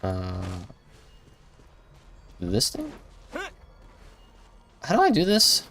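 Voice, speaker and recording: A man's voice: fairly high pitch, wildly swooping pitch, very slow, pushed and bright. A man live streaming a video game with casual, slangy commentary, often muttering and sounding unsure of himself. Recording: music behind the voice. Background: game music.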